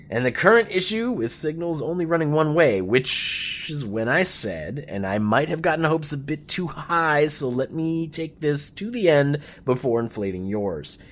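An actor playing a chatty, wry, slightly anxious revolutionary envoy rambling through a briefing in an audio drama. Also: male voice, on the high side, a bit bright. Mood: animated, explanatory.

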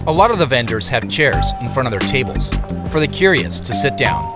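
An actor playing a reserved, observant man who narrates his own story in measured, descriptive audio drama style. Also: medium pitch, big pitch swings, close to an everyday voice. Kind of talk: scripted first-person audio drama narration. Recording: music behind narration.

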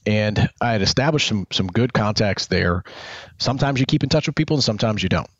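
A man's voice: fairly low pitch, expressive, a little fast, dry recording, highs cut off. A man hosting an interview podcast, talking casually and rambling, often repeating words and adding folksy asides. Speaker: podcast host.

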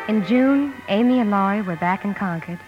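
An actress playing a gentle, caring married sister who narrates and acts in a sentimental 1950s radio drama. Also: female voice, mid-range voice, lively intonation, moderate pace, natural voice. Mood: wistful, narrating. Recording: dull old radio recording with music.

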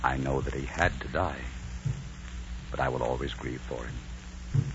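An actor playing a proud Spanish conquistador commander in love, in melodramatic 1940s radio style. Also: male voice, very deep voice, steady tone, a little slow. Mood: grieving, humbled. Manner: melodramatic, swinging from bellowed orders to hushed tenderness. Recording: muffled, noisy 1940s radio recording.

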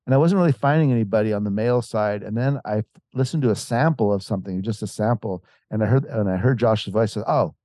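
A man talks as an author guest in an interview, rambling through a story with frequent restarts. His voice is dark and mellow, and the recording is dry and close. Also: on the low side, fast talker, few pauses, no room sound. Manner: rambling, with many restarts and fillers; acts out quoted voices. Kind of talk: casual conversational storytelling.

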